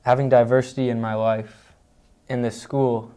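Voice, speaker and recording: A man's voice: medium pitch, little pitch movement, moderate pace, mellow, smooth. A teenage male student giving a casual, conversational answer in an interview. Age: teens, high school student.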